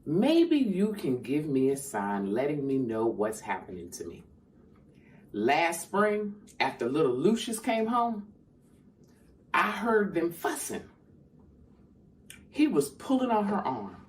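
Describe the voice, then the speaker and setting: A woman playing a frightened, wounded fourteen-year-old girl in a stage monologue, halting and plain-spoken. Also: low voice, huge pitch swings, a little mellow, heavily acted. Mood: hurt, pleading. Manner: halting, plain-spoken, Southern rural phrasing. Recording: clear background noise.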